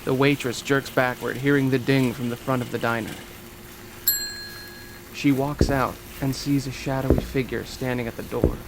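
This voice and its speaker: A man's voice: level tone, normal speed. A man reading out a screenplay as narrator, in a dramatic scripted style, at a table read. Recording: loud ambient rumble behind voice.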